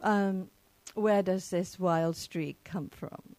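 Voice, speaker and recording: A woman's voice: on the low side, slow, darkish tone, no room sound. A woman from England hosting an interview podcast, asking long rambling questions with many fillers. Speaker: podcast host. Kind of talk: casual interview.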